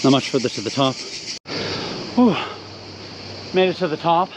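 A man's voice: a little high, animated, moderate pace. Upbeat man vlogging outdoors over traffic noise. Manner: upbeat, chatty, addresses viewers directly. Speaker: travel vlogger. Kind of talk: casual travel vlog narration. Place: outdoors in a town and on a mountain trail.

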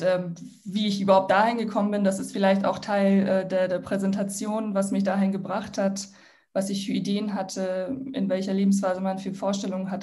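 A woman's voice: medium pitch, mellow. A young woman from Iran, a guest social entrepreneur introducing herself on a video call in a friendly way, with many fillers and restarts. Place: home room, indoors. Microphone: laptop mic on video call.

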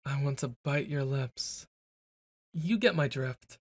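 A man's voice: medium pitch, slow pace, no room sound, clean studio sound. A young man performing a boyfriend ASMR roleplay, brash and teasing, stumbling into flustered stammers.

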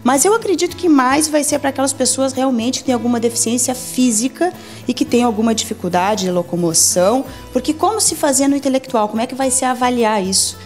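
A woman's voice: sits high, a little fast, darkish tone, faint music behind. Brazilian woman lawyer explaining rules. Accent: Brazilian Portuguese. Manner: methodical, explains legal rules step by step. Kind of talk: explanatory interview answers. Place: office meeting room. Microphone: handheld mic.

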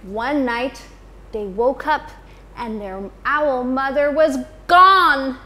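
A woman in her thirties, a teacher, reading a picture book to children in an expressive storytime manner in a school library. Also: high voice, slight background noise. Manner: slow, expressive, addressing children.

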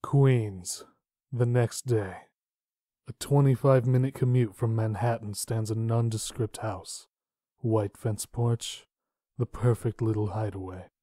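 A man's voice: fairly low pitch, fairly even intonation, slow, mellow, dry recording, clean studio sound. A man playing a sober comic-book narrator, setting the scene in scripted narration. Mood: matter-of-fact.

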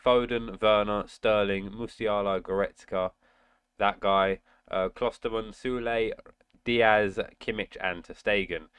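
Casting: young man, nasal from a cold, lowish voice, gaming commentary with sudden excited shouts. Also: slow, smooth, no echo. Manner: excitable outbursts during play, muttering between. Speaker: gaming channel host. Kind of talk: casual gameplay commentary. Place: indoor room at home.

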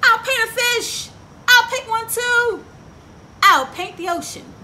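A woman's voice: very high voice, takes it slowly, pitched above the performer's own voice, heavily acted. A woman voicing an eager young schoolgirl artist in a picture book, calling out her lines. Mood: excited, eager. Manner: shouting out eagerly. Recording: clear outdoor ambient noise.

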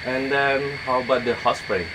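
A man's voice: mid-range voice, steady tone, normal speed. A young man with an Indonesian accent interviewing a visitor outdoors, hesitant and searching for words, with many fillers. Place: outdoors by a wooden lodge in a forest. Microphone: camera mic, medium distance. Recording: loud outdoor noise.

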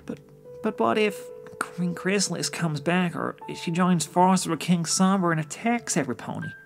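Man voicing a soft, high-pitched frightened little filly. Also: animated, moderate pace, vocal fry, soft background music. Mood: anxious. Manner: hesitant, stammering. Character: frightened little filly, a young sister pony.

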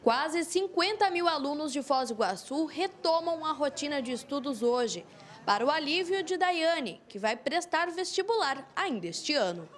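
A woman's voice: high voice, expressive, normal speed. A woman with a Brazilian accent reading a scripted television news report.

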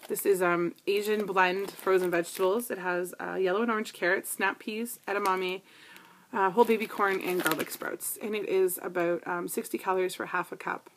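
A woman's voice: medium pitch, fairly even intonation, moderate pace, noisy background. A woman in her thirties from Canada, chatting casually through a grocery haul video with frequent ums and small asides, recorded on a tablet in a living room. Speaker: vlogger. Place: living room with couch, indoors. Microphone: tablet camera built-in mic.

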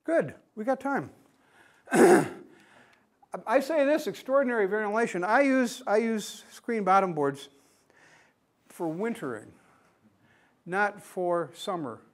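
A man's voice: high-pitched, expressive, takes it slowly, pauses often. A man in his sixties from the United States giving a plain-spoken conference lecture in a classroom, asking the audience questions as he goes. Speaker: beekeeping speaker.

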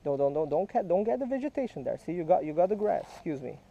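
A man's voice: fairly high pitch, big pitch swings, quick pace, vocal fry, dark tone, dull audio. A man patiently coaching and quizzing a child while fishing outdoors by a creek, recorded on a head-mounted camera. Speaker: fishing vlogger. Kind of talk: casual teaching chat while fishing. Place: outdoors by a small creek in a park. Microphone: head-mounted action camera.

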